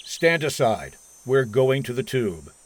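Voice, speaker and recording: A man performs a hawkish colonel in a radio play, in a medium-pitched voice. He is authoritative and suspicious, handing out clipped orders like a born commander. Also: very animated, slow pace, no room sound. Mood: belligerent, triumphant. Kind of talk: old-time radio science fiction acting.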